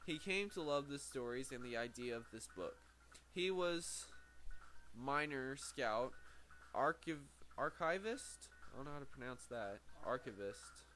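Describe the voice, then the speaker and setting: A man's voice: mid-range voice, lively intonation, a bit bright. A man with a mid-range voice reads a book aloud in an amateur way. He halts, stumbles and corrects himself, sometimes breaking off into casual asides. There is faint noise behind him. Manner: halting, stumbles over words and corrects himself. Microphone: very sensitive microphone. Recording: faint background noise, sensitive microphone.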